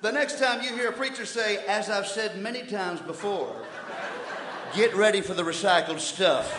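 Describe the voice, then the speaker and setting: High-pitched blustering preacher played by a man, echoey hall. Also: normal speed, a bit bright. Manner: emphatic pulpit delivery, swinging from muttered asides to hollering. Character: blustering, indignant old-fashioned revival preacher, male. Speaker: comic preacher on a variety stage show. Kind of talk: comic mock sermon to a live audience. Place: large indoor theatre stage before an audience. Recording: echoey hall, live stage, audience noise.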